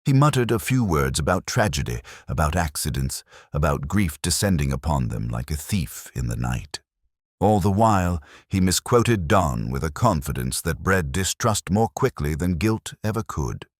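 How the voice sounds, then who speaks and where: A man narrating a literary detective story in the first person, in a measured, brooding and formal manner. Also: very deep voice, sing-song, no room sound, studio quality.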